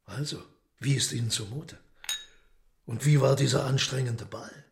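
An actor playing a courteous, commanding devil in a radio play, speaking in a suave and measured way. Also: male voice, medium pitch, gravelly, slight background noise, lightly acted. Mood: gracious.

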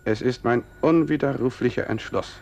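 An actor playing a grave, stubborn farmer husband who is convinced of doom, in a 1950s German radio play. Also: male voice, mid-range voice, normal speed, gravelly, darkish tone, lightly acted. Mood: resolute. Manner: grave, resolute.

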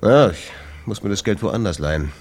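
An actor playing a troubled, exasperated jazz club owner in a radio play, talking in a confiding way. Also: male voice, lowish voice, lively intonation, moderate pace. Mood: worried, confiding.

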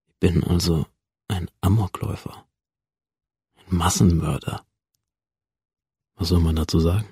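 A detached teenage outsider narrates a radio drama in a laconic deadpan. The voice is low and creaky, recorded very dry and close, with a sardonic edge. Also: male voice, no room sound, full character voice. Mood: subdued, numb. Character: dead teenage school shooter's digital memory copy, detached, sardonic loner. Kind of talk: radio drama, first-person inner narration.

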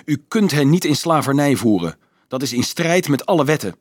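A man's voice: on the high side, animated, normal speed, no room sound, studio quality, pitched above the performer's own voice. A man voicing a bold, hot-tempered teenage boy who argues vehemently, in an audiobook. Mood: seething. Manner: vehement, protesting. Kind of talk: audiobook character voice.

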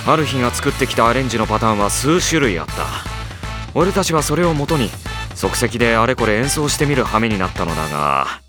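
A sardonic high-school boy acted by a man over prominent music. His middling voice swings widely with dry exasperation, as if forever putting up with nonsense in an anime audio drama. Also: brisk, close to an everyday voice. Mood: wry narration. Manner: dry, wry, deadpan delivery. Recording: music behind the voice.

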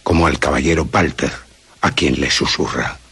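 Low-pitched male radio narrator. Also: normal speed, a bit bright, slightly rough. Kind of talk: dramatic scripted narration. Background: music.

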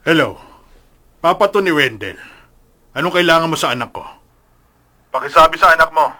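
Forceful, hot-tempered father. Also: fairly high pitch, lively intonation, moderate pace. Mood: angry, accusing. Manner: confrontational, demanding. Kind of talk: radio drama acting.

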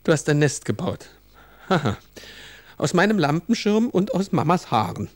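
A man's voice: a little high, big pitch swings, normal speed. A man playing a baffled, exasperated father of a young son in a children's radio play, stern and incredulous.

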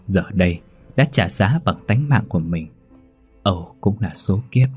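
The ghost of a sorcerer's wife speaks in a solemn, measured way, as a man with a low, husky voice puts her on. The sound is dry and close. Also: lively intonation, a little mellow, faint music behind, full character voice. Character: ghost of an old man's wife, sorrowful, calm.

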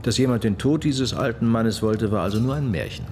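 An actor playing a methodical, persistent police detective questioning a witness in a matter-of-fact way in a German radio crime drama. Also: male voice, mid-range voice, animated, brisk, darkish tone, slight background noise, close to an everyday voice. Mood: probing, insistent.

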